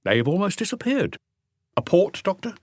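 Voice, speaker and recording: A man's voice: high-pitched, big pitch swings, normal speed, dry recording, studio quality, pitched above the performer's own voice. A man voicing a cautious, obliging male café waiter in an audiobook mystery.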